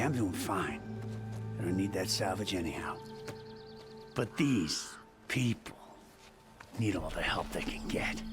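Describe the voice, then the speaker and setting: A man's voice: low voice, heavily acted. An actor playing an impatient, swaggering gang boss in a video game, sarcastic and folksy in his bluster. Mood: grudging retreat. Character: gruff, swaggering male scavenger gang boss, impatient bully. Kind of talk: video game cutscene acting. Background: music.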